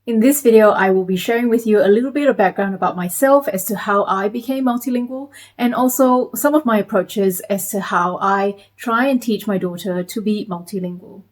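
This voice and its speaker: A woman in her thirties from Malaysia, talking to camera in a measured, explanatory way as a parenting vlogger. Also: mid-range voice. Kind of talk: scripted talk to camera.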